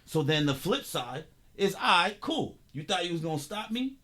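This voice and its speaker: Forty-something valley guy, streetwise slang, voice a little high, talks animated. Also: moderate pace. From San Fernando Valley, California. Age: 40s. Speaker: guest. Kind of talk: casual chat.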